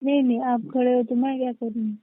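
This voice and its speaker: A woman's voice: on the high side, flat delivery, fairly quick, no echo, natural voice. A woman playing a pouty, teasing young wife in casual, intimate banter, with curt mock indignation. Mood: mock indignant. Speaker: wife in a couple's call. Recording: tinny narrow phone-call sound.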